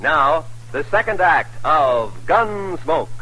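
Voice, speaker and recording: A man's voice: on the high side, very animated, slow, noisy background. A man announcing in 1950s radio style on an old broadcast.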